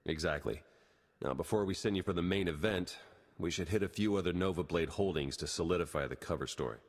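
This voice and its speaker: Casting: low-voiced, confident secret agent for a game, practical and direct. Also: male voice, fairly quick. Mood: businesslike. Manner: businesslike, direct. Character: pragmatic, confident male spy. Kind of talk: video game voice acting.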